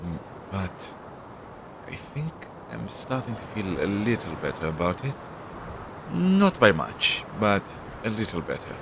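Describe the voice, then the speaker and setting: An anxious demon familiar in crow's guise, voiced by a man for an audio roleplay. He talks slowly in a fairly low voice, hesitant yet chatty and devoted to his master. Clear background noise runs through the rough home recording. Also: sing-song, heavily acted. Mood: weary, relieved. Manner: hesitant, chatty, with sighs and trailing asides. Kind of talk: scripted audio roleplay addressed to the listener.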